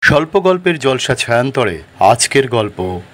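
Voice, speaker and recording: A man reading a scripted horror story as storyteller, dramatic and suspenseful, with deliberate pauses. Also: mid-range voice, big pitch swings, music in the background.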